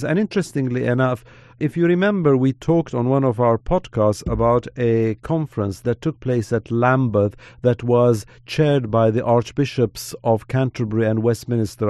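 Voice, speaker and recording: Casting: native Armenian speaker, male commentator, warm and dark tone, dry close sound, steady preacherly delivery. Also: medium pitch, no echo. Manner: measured, earnest, preacherly cadence. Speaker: podcast commentator on Middle East affairs. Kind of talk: podcast discussion.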